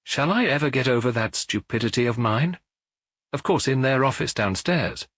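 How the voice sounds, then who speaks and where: A man voicing a mild, shrewd little priest detective with a gentle, frankly doubtful manner in an audiobook. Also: mid-range voice, lively intonation, moderate pace, no echo, clean studio sound, voice pushed up. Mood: thoughtful, sudden.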